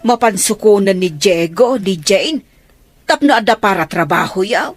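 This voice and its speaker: An actress playing an exasperated mother in an Ilocano radio drama, reproachful and expressive. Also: mid-range voice, normal speed, no room sound, soft background music, close to an everyday voice. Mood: exasperated, scolding. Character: exasperated, scolding mother of grown daughters.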